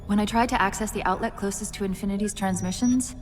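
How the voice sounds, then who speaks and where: An actress playing a quick-witted female AI who explains things briskly and wryly, over a game score. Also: mid-range voice, steady tone, long reverb tail, lightly acted. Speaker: game character. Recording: game audio with loud music behind.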